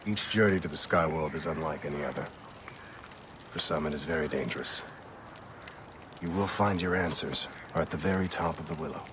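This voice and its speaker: A very deep, mysterious male spiritual guide. He sounds solemn and cryptic, in the manner of video game drama. Clear ambient noise runs behind the voice. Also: fairly quick, pauses often. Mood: ominous, solemn.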